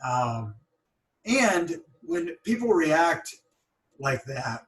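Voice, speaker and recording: A man's voice: a little high, very animated, extremely slow. A man hosting an interview podcast, who stutters and restarts words as he talks in a thoughtful, encouraging way. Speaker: podcast host. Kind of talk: conversational interview. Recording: roomy, a bit far from the mic.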